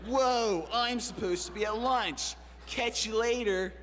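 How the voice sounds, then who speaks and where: A man's voice: high-pitched, takes it slowly. A man playing a breezy, unhelpful slacker doorman in a comic radio play, with casual, nonchalant talk. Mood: laid-back. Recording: echoey hall with music behind.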